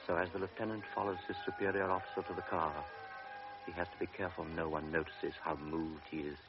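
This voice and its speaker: A man narrating a radio drama in a measured, grave manner. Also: sits low, little pitch movement. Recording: dull old radio recording with music.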